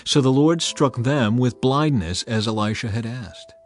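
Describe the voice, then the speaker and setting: A man narrating a dramatized scripture reading in a measured, earnest way. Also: medium pitch. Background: faint background music.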